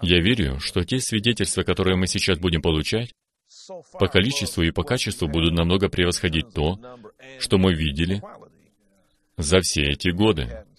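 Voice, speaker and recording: Very deep-voiced preaching man. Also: normal speed. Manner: affirming, steady, frequent short exclamations of praise. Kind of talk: preaching conversation.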